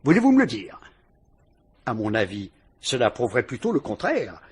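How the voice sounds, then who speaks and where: An actor playing a shrewd police inspector who questions a suspect with dry irony, in a vintage radio drama. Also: male voice, medium pitch, wildly swooping pitch, moderate pace, slightly rough, heavily acted. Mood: probing, ironic. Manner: sly, probing, mocking interrogation.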